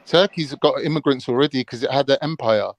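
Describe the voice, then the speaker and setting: A man's voice: medium pitch, fairly quick, dry recording. A man arguing his point on a live panel, interrupting and pressing others with repeated questions. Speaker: panel guest. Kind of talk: heated debate on a live call-in panel.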